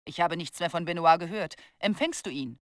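Woman acting a lively, firm squad leader. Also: fairly low pitch, brisk, dry recording, clean studio sound, natural voice. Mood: subdued concern. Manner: crisp military commands and briefings. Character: brisk, firm female squad leader, easily exasperated. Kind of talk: video game voice acting, German dub.

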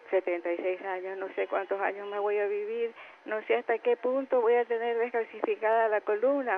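A woman's voice: fairly high pitch, slight background noise. A woman in her seventies calling in to a health show, telling her story in a rambling, polite way. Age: 70s. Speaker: caller. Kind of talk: phone-in question. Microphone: telephone. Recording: tinny phone line.

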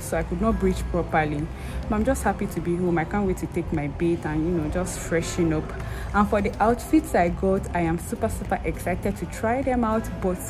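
A woman's voice: on the low side, low-quality recording. A young woman with a Nigerian accent, talking to camera at home in a tired, casual way. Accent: Nigerian English. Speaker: vlogger. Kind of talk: casual vlog talk to camera.

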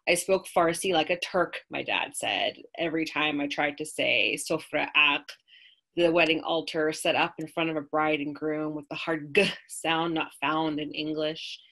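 Low-voiced american woman reading her own essay, slow and thoughtful, webcam at home. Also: bright, forceful tone, poor audio. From United States. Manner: measured, reflective reading with slowed emphasis. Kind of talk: reading a personal essay aloud. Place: small room at home, indoors. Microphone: webcam on a video call.

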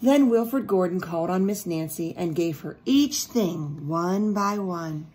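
A middle-aged woman reads a storybook aloud in a fairly low voice. She tells it deliberately, as a teacher would to young children, and breaks off to put questions to her listeners. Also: animated, a little mellow. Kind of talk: picture-book read-aloud with asides to children. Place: small indoor room at home.

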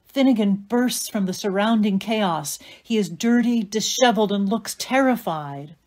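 A woman performing as the narrator of a dark fairy tale audio play, in scripted dramatic narration. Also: medium pitch, normal speed, natural voice. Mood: measured narration.

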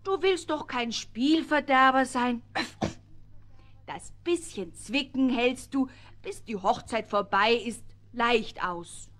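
A woman's voice: fairly high pitch, takes it slowly, a bit bright. A woman voicing a bossy, motherly pig in a children's audio play, fussy and chiding, with little oinks. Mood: chiding, brisk. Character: bossy, motherly female pig dressing a bride.

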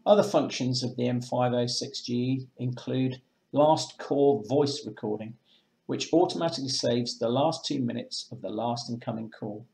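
A man presenting marine electronics in a measured, matter-of-fact way, as in a semi-scripted product demonstration. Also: medium pitch, vocal fry, a little mellow, rough recording. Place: indoors, small room with block walls at a table.

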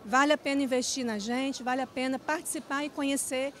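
A woman's voice: high-pitched, fairly even intonation, brisk, a bit bright. A woman speaking earnestly in an event interview with hesitant fillers, into a handheld reporter mic.

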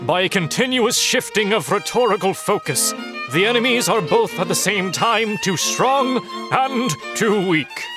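A man with a high, very lively voice narrates theatrically. His delivery is grand and dramatic, with music playing behind him. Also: measured pace. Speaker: narrator of a comic dub.

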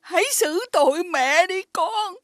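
A slow, tearful pleading mother. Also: extremely high pitch, no echo, studio quality. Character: pleading, sorrowful mother of a villain. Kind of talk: animated fairy tale acting.

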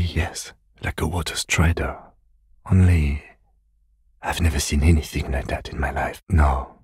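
A man playing a calm, composed middle-aged police detective in a video game, speaking in a measured, restrained and precise way. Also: rumbling low, breathy edge, no room sound, clean studio sound, exaggerated voice. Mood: dry, measured. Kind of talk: video game voice acting, understated dialogue.

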